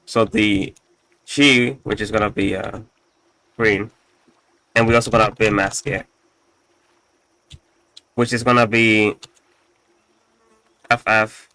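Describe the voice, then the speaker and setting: A man casually walking through his code, halting often with fillers and restarts, with a slightly raspy voice and the odd swear. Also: on the low side, steady tone, pushed and bright, dry recording, poor audio. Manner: halting, full of fillers and restarts, profane asides. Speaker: coding tutorial host. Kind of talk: casual screen-recorded coding walkthrough.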